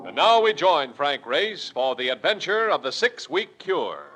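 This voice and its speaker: A man's voice: fairly high pitch, big pitch swings, measured pace. A man announcing an adventure serial in dramatic 1940s radio style. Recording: reverberant old radio with organ music. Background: organ music.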